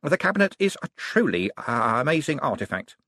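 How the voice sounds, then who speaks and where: A man's voice: mid-range voice, very animated, fairly quick, fairly bright tone, no echo, voice pushed up. A man voicing a friendly, flustered young wizard scholar in an audiobook, modest but bookish, hesitating and stammering with many ums.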